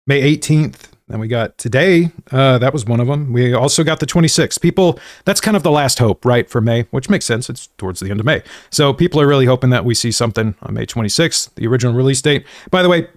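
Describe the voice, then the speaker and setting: A man's voice: mid-range voice, lively intonation, quick pace, hardly any pauses, no echo, clean studio sound. An American man hosting a gaming livestream, chatting casually to viewers in a rambling, slangy, self-deprecating way. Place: indoors at a desk.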